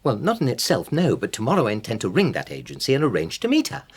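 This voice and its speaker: Stressed english husband, middle aged, exasperated and insistent, husky, voice jumps around, radio play. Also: male voice, medium pitch, wildly swooping pitch, quick pace, full character voice. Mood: determined. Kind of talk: naturalistic radio drama acting.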